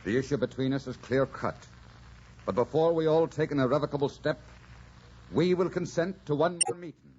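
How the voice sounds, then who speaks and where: An actor playing an earnest, torn Irish delegate in old-time radio drama, grave and impassioned. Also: male voice, fairly high pitch, normal speed, a little mellow, natural voice. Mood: firm, urgent. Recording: dull, noisy old radio recording.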